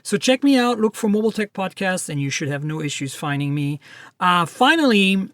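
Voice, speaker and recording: A man's voice: high-pitched, big pitch swings, brisk, fairly bright tone, no room sound, studio quality. A man hosting a tech podcast, talking in a chatty, upbeat way and running through plugs. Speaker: podcast host. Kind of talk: casual tech podcast chat.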